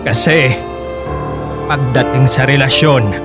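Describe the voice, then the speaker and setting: A man's voice: mid-range voice, takes it slowly, lightly acted. A man playing a blunt, scolding middle-aged father who disapproves, in a Filipino radio drama. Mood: disapproving. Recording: loud music under the voice.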